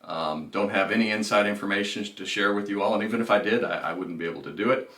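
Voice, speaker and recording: A man's voice: fairly low pitch, fast, fairly bright tone. A man giving businesslike financial commentary as a presenter, stressing key phrases in an informal way. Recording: roomy echo, distant microphone.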